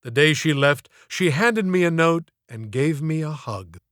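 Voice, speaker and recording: A man reading his own first-person literary story in a theatrical, deliberate way, with florid phrasing. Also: fairly high pitch, lively intonation, fairly bright tone, dry recording, studio quality. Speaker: author reading own audiobook.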